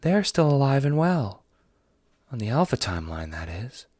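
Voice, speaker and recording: A man voicing a blunt female alien troll time traveler in an audio drama, speaking formally and with measured care. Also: mid-range voice, big pitch swings, music in the background, natural voice. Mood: blunt, solemn. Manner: formal, measured, few contractions.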